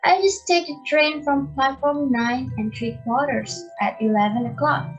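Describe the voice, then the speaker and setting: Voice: high voice, unhurried. A reader voicing a timid, polite young boy in a storybook, speaking hesitantly. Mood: unsure. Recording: muffled recording with music behind.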